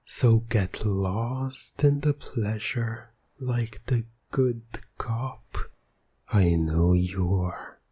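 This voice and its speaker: A man playing a teasing, domineering hypnotist in an ASMR roleplay, breathy and often near a whisper, with a deliberate, commanding manner. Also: on the low side, mellow, no echo, heavily acted. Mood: smug, coolly dismissive. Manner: slow, deliberate, commanding, taunting. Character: teasing, domineering male hypnotist, smug and in control. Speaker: roleplay audio performer.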